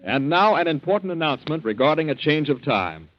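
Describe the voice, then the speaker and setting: A man's voice: a little high, very animated, brisk. A man announcing formally and emphatically in 1940s network radio style. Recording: muffled old radio recording. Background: loud theme music.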